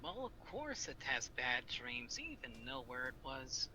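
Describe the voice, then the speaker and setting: A man's voice: medium pitch, sing-song, measured pace, bright, forceful tone. A man chatting casually in character during an improvised online role-play game. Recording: noisy online game voice chat.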